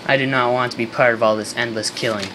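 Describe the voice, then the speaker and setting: A failed soap magnate acted by a man, boastful and bitter, speaking deadpan in period slang. It is an amateur radio skit with a mid-pitched voice and clear background noise. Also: fast talker, lightly acted, poor audio.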